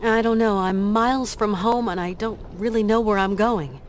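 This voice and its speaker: An actress plays a determined American woman adventurer in a game. Her mid-pitched voice is earnest, kindly and conversational, with a faint ambient noise behind it. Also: normal speed, natural voice. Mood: gentle, reflective. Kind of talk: video game voice acting.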